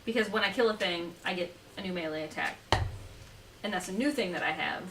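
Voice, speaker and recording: A woman's voice: on the low side, brisk. A woman playing a tabletop roleplaying game, talking casually through her moves and dice rolls. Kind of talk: casual chat during a game. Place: home, around a gaming table. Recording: noisy, echoey room, distant mic.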